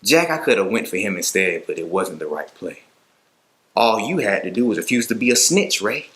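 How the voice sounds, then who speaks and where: A man's voice: on the low side, big pitch swings, moderate pace, fairly bright tone. A man acting a creepy, mock-friendly killer toying with a captive in a dramatic monologue. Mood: quiet menace. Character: unsettling, taunting male killer toying with his captive.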